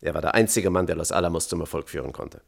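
An actor playing a thoughtful, conscience-troubled physicist testifying as a witness in a German radio play, measured and candid. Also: male voice, rumbling low, creaky, slightly rough, exaggerated voice.

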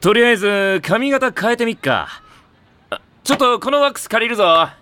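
An actor playing a teasing, decisive teenage team captain in a Japanese anime audio drama. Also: male voice, high-pitched, lively intonation, fairly quick, sharp tone, slight background noise. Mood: scheming, confident. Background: background music.

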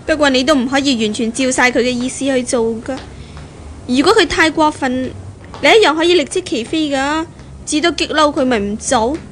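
Actress as high-voiced proud young fiancée. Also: female voice, fairly quick, close to an everyday voice. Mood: assertive. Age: young adult. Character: young female graduate, proud, coolly probing fiancée. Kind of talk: 1970s Cantonese radio drama acting. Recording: noisy 1970s radio drama recording.

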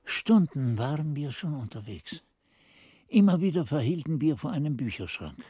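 A man's voice: fairly high pitch, very animated, moderate pace, dark tone, slightly rough, dry recording, studio quality. A man playing an earnest monastery narrator in a radio drama, recounting events with careful, storytelling delivery. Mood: earnest, wry.

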